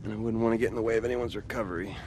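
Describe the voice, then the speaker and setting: An actor playing a cocky, defiant young rebel hero in a video game, with a gravelly voice and brash, sardonic manner. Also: male voice, on the low side, fairly even intonation, racing, a little mellow, slight background noise, natural voice. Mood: brash, casual. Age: young adult. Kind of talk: cinematic video game acting.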